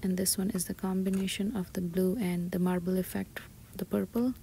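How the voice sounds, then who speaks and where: A woman shopping vlogger giving soft, breathy, often half-whispered ASMR-style commentary as she describes items one by one inside a store. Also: mid-range voice, little pitch movement, brisk. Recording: store ambience noise behind soft voice.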